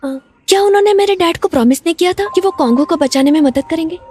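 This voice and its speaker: A woman's voice: very high-pitched, quick pace, darkish tone, faint music behind. A young woman playing a spirited, evasive office assistant in a dubbed drama. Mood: earnest, secretive. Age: young adult.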